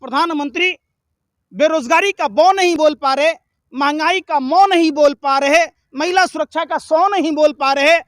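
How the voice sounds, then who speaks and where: A man's voice: extremely high pitch, moderate pace, fairly bright tone, no room sound, studio quality. A man speaking in a heated political argument, combative and indignant, with emphatic repetition and rhetorical questions.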